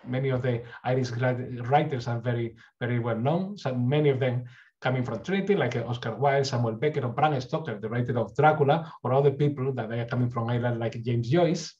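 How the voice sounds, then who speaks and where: A man's voice: mid-range voice, brisk, a little mellow, highs cut off. A middle-aged man from Spain with a Spanish accent, giving an earnest university presentation over slides with frequent restarts. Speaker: university recruitment speaker. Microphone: webcam on a video call.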